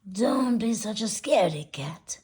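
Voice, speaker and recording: A woman's voice: sits low, big pitch swings, slow pace, background noise, exaggerated voice. A woman voicing a sly, mocking fox in a storybook, with a sarcastic drawl.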